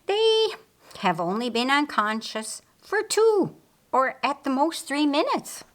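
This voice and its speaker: A woman voicing an observant, precise older uncle, deliberate in his audiobook dialogue. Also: high voice, very animated, pitched above the performer's own voice, heavily acted. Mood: insistent. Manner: deliberate, counting and pointing out.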